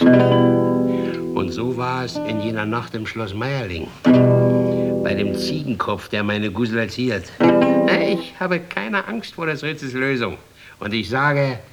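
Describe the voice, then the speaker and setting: A man's voice: lowish voice, close to an everyday voice. An actor playing a boastful, taunting male street musician in a theatrical radio drama style. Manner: taunting, theatrical, repeats himself. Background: plucked guitar music.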